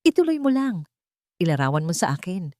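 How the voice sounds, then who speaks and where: Gentle, soft-spoken man played by a woman. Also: lowish voice, sing-song, fairly quick, no echo, clean studio sound.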